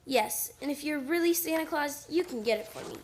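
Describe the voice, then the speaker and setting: A woman's voice: high-pitched, expressive, fairly quick, slight background noise. A woman playing a skeptical, matter-of-fact young schoolgirl in a radio play, earnest, precise and questioning. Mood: wistful.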